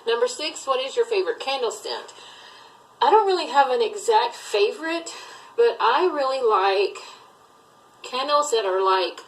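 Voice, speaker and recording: A woman's voice: fairly high pitch, animated, moderate pace, low-quality recording. Rambling, chatty Southern-raised woman. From Southern US, Alabama. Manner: rambling, chatty, many fillers. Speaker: thrift reseller vlogger. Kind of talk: casual tag video chat.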